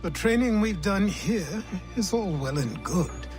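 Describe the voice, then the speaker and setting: An actor playing a stern old mentor with a sardonic, admonishing manner in a video game cutscene. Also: male voice, high-pitched, expressive, a little slow, a little mellow, soft background music. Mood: dry.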